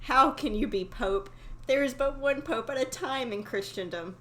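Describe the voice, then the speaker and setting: A woman's voice: high-pitched, moderate pace, a bit bright, voice pushed up. A woman voicing a timid, henpecked fisherman husband from a fairy tale, pleading in old-fashioned phrasing. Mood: doubtful. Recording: faint background noise.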